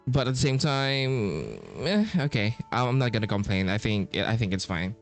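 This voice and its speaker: A man giving casual, rambling playthrough commentary as a game streamer, halting and slangy. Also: on the low side, lively intonation. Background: video game music.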